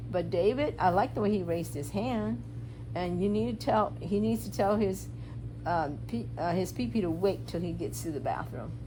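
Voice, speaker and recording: A preschool teacher, a woman, reads a picture book to young children in an animated, instructive way, asking questions and explaining rules simply. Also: medium pitch, moderate pace. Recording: clearly noisy room recording.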